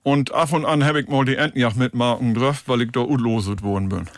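A man's voice: mid-range voice, steady tone, brisk, no room sound, studio quality. A middle-aged man from Emsland as a guest on a radio show, friendly and plain-spoken, chatting and laughing a little. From Emsland, northern Germany. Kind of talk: casual radio interview. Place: radio studio. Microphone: studio microphone.

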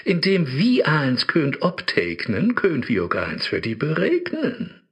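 An actor playing a sly, scheming male devil who lives in a phone app, with a smooth, sneering voice, in a rhymed radio play. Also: on the high side, wildly swooping pitch, takes it slowly, fairly bright tone, heavily acted.

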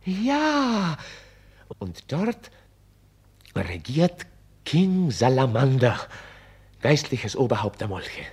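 Male author for radio drama: husky, theatrical, very animated pitch, unhurried, inventing a grand dark tale. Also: exaggerated voice. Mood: grandiose, excited. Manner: theatrical, musing, swinging from hushed to declaiming. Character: excitable male author improvising a grand catastrophic story. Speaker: radio play actor.

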